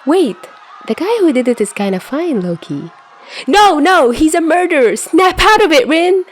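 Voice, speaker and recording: A woman's voice: high-pitched, sing-song, moderate pace, pitched above the performer's own voice. A woman voicing a panicky young commuter caught in a disaster, in an incredulous first-person monologue from a fan fiction story. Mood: terrified. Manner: incredulous, exclamatory inner monologue.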